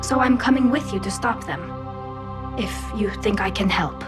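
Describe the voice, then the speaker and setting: Woman doing a young alien crewmate in a game, gentle but determined, wistful, music behind. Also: medium pitch, moderate pace, natural voice. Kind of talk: video game cutscene dialogue.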